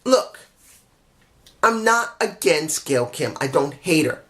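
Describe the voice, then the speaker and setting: Hoarse man ranting a wrestling review. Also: fairly high pitch, sing-song, takes it slowly, a bit bright. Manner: candid, rambling, frustrated, frequent restarts. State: losing his voice. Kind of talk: casual opinionated review.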